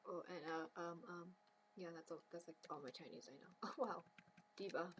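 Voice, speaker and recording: A woman's voice: fairly low pitch, moderate pace, slight background noise. A young woman streaming as she draws, chatting casually and rambling, often laughing and now and then dropping to a whisper. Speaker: streamer drawing on request. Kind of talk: casual chat while drawing.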